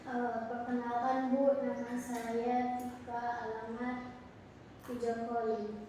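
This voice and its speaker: A woman's voice: on the high side, little pitch movement, slow, warm tone. A young woman playing a student in a counseling group, politely reciting names with some hesitation. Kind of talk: role-played group introductions. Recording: echoey room from far off, noisy.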